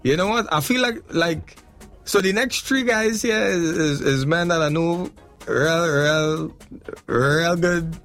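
A man with a Trinidadian accent hosting a radio show, lively and playful, quick to laugh. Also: a little high, soft background music. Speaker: radio host. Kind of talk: casual radio chat and ad reads.

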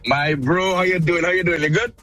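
A man's voice: high-pitched, fairly even intonation, brisk, sharp tone. A man, a music artist guest, talking in a friendly, easygoing way in a casual interview on a call line.

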